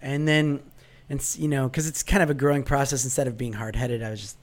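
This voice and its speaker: A man with a raspy voice from a cold, chatting as a vlogger and musician in a loose, joking interview. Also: mid-range voice, fast talker. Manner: relaxed, rambling, self-mocking humor. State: hoarse from a cold. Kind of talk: casual interview chat. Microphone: remote call.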